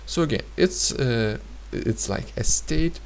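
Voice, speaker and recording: A man teaching a grammar lesson in a hesitant way, pausing often and repeating each example sentence. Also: mid-range voice, animated, vocal fry, slight background noise. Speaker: language teacher.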